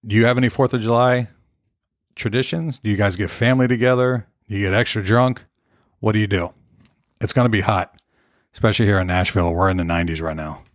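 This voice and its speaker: A hoarse man hosting his own podcast, chatting loosely and rambling from topic to topic. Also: fairly low pitch, steady tone, fast, no room sound, clean studio sound. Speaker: podcast host. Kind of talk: casual solo podcast rambling. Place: Nashville.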